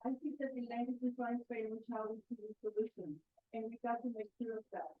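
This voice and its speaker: A woman's voice: on the high side, steady tone, fast. A woman from the audience making a long, earnest, rambling comment. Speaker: audience member asking a question. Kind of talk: impassioned spontaneous comment. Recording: tinny phone-like sound.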